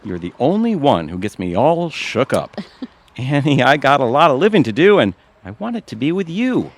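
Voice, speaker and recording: A man's voice: mid-range voice, big pitch swings, normal speed. A man playing a boyish, romantic goofball husband in a comedic audio play. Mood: earnest, pleading. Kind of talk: comedic audio theatre acting.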